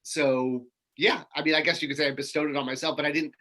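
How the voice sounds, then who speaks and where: A man's voice: a little high, steady tone, fast, sharp tone. A middle-aged man from Connecticut, a toy marketer, talking casually as an interview guest on a video call from a home room full of toys, rambling with lots of fillers and restarts. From Connecticut, USA.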